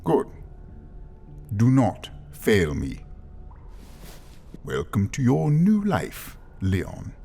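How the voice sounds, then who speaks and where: A man playing a cold, commanding lord in an audio drama, speaking formally and with measured authority. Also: on the low side, huge pitch swings, gravelly, dark tone, full character voice. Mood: lordly command. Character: cold, commanding English-style lord who buys servants. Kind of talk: scripted audio drama acting. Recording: music behind the voice.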